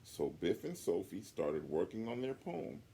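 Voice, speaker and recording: A man reading a picture book aloud to children in an animated way, with chatty asides. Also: deep voice, measured pace, gravelly, slight background noise. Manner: chatty asides to young listeners.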